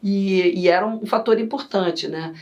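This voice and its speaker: A middle-aged woman from Yugoslavia, chatting as an interview guest and telling stories in an animated way. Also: mid-range voice, unhurried, muffled recording. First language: Serbian. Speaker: guest, vocal coach. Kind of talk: casual interview chat.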